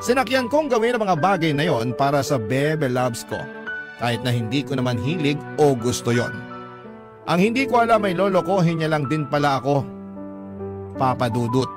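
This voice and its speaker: High-pitched male radio drama narrator. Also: big pitch swings, moderate pace. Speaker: narrator reading a letter sender's story. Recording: clear music bed behind narration.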